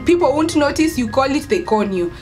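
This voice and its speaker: A young woman with a Kenyan accent talks casually to her viewers. Her voice is fairly high and her delivery is emphatic, with words repeated for stress. Music plays clearly behind her. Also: animated, a little fast. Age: young adult. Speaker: vlogger, storyteller. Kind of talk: casual storytime chat to camera.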